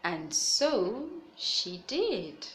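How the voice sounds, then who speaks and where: A woman's voice: mid-range voice, big pitch swings, drawn-out words, fairly bright tone, a little hiss or hum, low-quality recording. A woman hosting a children's read-aloud, narrating a picture book expressively for young listeners. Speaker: storytelling host.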